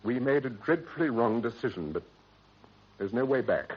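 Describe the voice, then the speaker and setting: An actor playing an anxious, guilt-ridden British husband in a period radio drama, speaking in a clipped, grave and troubled way. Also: male voice, medium pitch, lively intonation, normal speed, breathy edge. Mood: desperate, agitated. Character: anxious guilt-ridden husband hiding a body, adult man. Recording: dull, band-limited vintage radio recording.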